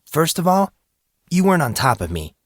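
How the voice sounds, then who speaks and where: A man's voice: fairly high pitch, very animated, moderate pace, dry recording, clean studio sound, pitched above the performer's own voice. A man voicing an embarrassed teenage boy, awkward and dryly sarcastic, in an audiobook story. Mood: exasperated, sarcastic.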